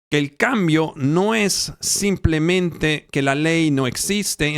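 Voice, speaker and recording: A male pastor preaching a Bible teaching in Spanish, which is not his first language. He speaks slowly and haltingly, with fillers and self-corrections. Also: a little high, vocal fry, clean tone, no echo, studio quality. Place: church, recorded for an online channel.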